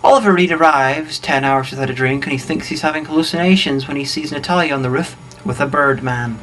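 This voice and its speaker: A man's voice: a little high, fairly quick, low-quality recording. A man reading a scripted comedic plot recap as co-narrator, in a dry, wry manner. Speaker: co-narrator of a comedy film review. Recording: faint background noise.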